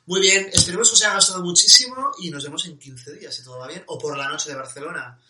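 A man's voice: high-pitched, fast, sharp tone. A young man co-hosting a podcast, chatty and casual, often correcting himself mid-sentence. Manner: chatty, self-correcting, fond of "¿vale?". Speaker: podcast co-host.

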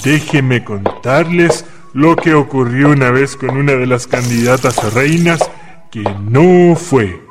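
A man's voice: mid-range voice, takes it slowly, big-room sound, music in the background, natural voice. An actor in a community radio drama playing a proud, hospitable father with a Chilean accent, telling stories to his guests in a folksy manner. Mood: genial. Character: proud father of Dalila, genial small-town host.

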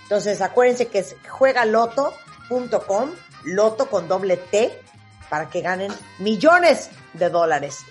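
A woman with a Mexican accent hosting a radio talk show, lively and emphatic. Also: medium pitch, unhurried, faint music behind. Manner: lively, emphatic, reads ads.

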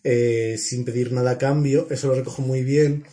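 Casting: young man from La Mancha, warm mellow tone, slow and thoughtful, lots of fillers. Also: medium pitch, fairly even intonation. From La Mancha, Spain. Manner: thoughtful, measured, with hesitant fillers. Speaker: podcast co-host. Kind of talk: casual film chat.

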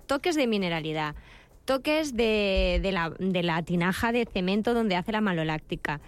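A woman guest on a food radio show, speaking quickly and enthusiastically in casual chat, with restarts. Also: mid-range voice, expressive, clear, resonant tone. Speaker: winemaker guest.

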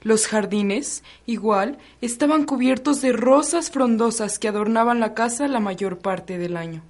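A woman's voice: a little high, a little slow, darkish tone, slight background noise. A young adult woman reading narration for a radio drama.

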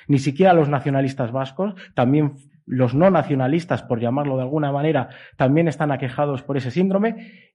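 A man's voice: a little high, darkish tone. A middle-aged man from Spain with a Castilian Spanish accent, giving a semi-improvised academic talk in a discursive, hesitant way, often adding checking tags. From Spain (Basque Country and Galicia). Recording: dull lo-fi sound.

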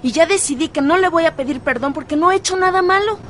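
Dramatic mexican teen girl, real high voice, complainy and defiant, radio soap, noisy background. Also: fast. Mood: indignant. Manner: complaining, emphatic. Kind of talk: radio soap opera acting.

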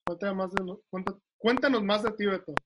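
A man hosting an interview podcast over a video call, talking in a friendly, relaxed, slangy way. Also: sits high, a little fast. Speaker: podcast host. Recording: loud background hiss on a video call.

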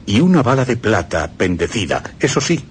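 A man's voice: fairly low pitch, big pitch swings, brisk, background noise. A man giving emphatic, instructive scripted narration for radio.

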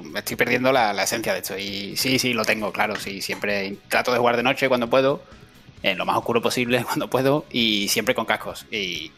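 Man, podcast co-host, hesitant, thoughtful, middle pitch, faint music. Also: fairly bright tone. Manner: hesitant, many restarts, thoughtful. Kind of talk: casual chat.

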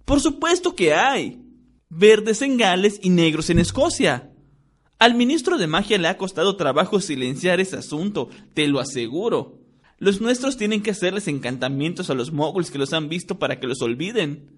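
A man's voice: high-pitched, very animated, normal speed, voice pushed up. A man reading a story, voicing an emphatic, boastful teenage schoolboy. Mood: explaining.

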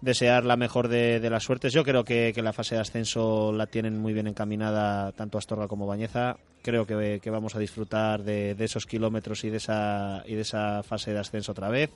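Halting man, deepish voice. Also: monotone, smooth. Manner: halting, repeats words as he goes. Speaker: guest from a women's football club. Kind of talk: radio interview.

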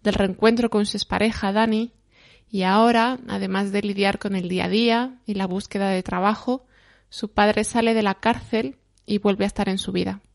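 A woman's voice: mid-range voice, fairly even intonation, moderate pace, gravelly. A woman hosting a film programme, narrating and putting questions to guests. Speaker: programme host and interviewer. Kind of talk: scripted narration and interview questions.

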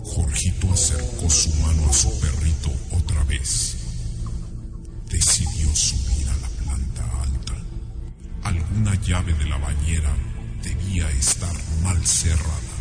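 A man playing a grave, sinister storyteller with a Mexican accent, narrating a horror radio drama slowly and ominously. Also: very deep voice, fairly even intonation. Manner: slow, ominous, deliberate. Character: grave, sinister male teller of a horror tale. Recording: loud music under the voice. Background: loud music.

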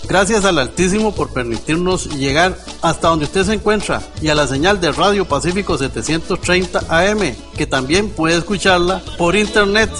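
A man's voice: high voice, moderate pace, hardly any pauses, a bit bright. A man presenting and reading scripted narration as a radio host. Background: music.

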